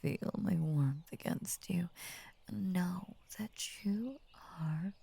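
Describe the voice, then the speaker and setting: A woman voicing a tender, possessive lamia in an intimate ASMR roleplay, whispering slowly and soothingly with formal, coaxing words. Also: on the low side, warm tone, heavily acted. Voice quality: breathy, whispery. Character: possessive, tender female lamia, half snake, doting and unnerving.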